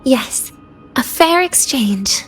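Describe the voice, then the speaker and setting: An actress playing a fragile, dying young woman in a video game, wry, weary and teasing, with a languid delivery full of pauses. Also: high-pitched, animated. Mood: coy, wistful.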